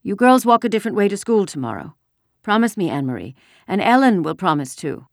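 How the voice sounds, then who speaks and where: A woman's voice: mid-range voice, very animated, fast, dry recording, studio quality, voice pushed up. A woman voicing a frightened, earnest mother in a storybook, urgent and cautioning. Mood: urgent warning.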